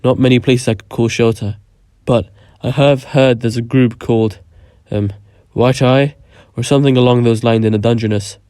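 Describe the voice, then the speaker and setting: A man's voice: mid-range voice, fairly even intonation, moderate pace, dark tone, dry recording, studio quality, close to an everyday voice. A man acting as a guarded, cocky lone survivor in a game cutscene, blunt and self-assured. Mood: matter-of-fact.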